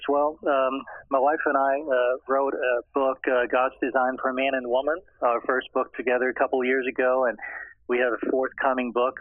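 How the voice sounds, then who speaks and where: A man's voice: medium pitch, no room sound. Hesitant man on a phone line. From Austria. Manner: hesitant, many fillers and restarts. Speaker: guest scholar. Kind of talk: interview answers.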